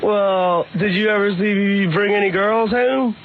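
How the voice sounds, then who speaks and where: A man chatting casually and a little defensively as a radio guest, halting and rambling like a slacker. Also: high-pitched. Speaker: aspiring comedian, radio guest. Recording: tinny phone-like sound, noisy radio tape.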